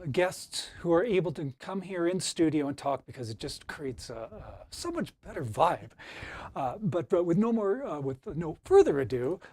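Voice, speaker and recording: Halting male podcast host. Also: high voice, darkish tone. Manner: hesitant, halting delivery with repeated words. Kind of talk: casual interview chat.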